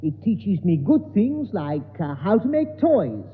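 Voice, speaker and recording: An actor playing a timid, fussy, polite English gentleman who hesitates and gets flustered in a 1960s radio sitcom. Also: high voice, big pitch swings, a little slow. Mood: hesitant. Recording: tinny hissy 1960s radio, echoey hall.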